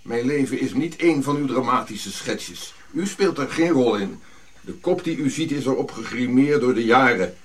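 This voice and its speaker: A man's voice: medium pitch, expressive, normal speed, natural voice. An older man playing a bitter, imperious African prince on Java in a radio drama, speaking formally with caustic haughtiness. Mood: caustic, cold.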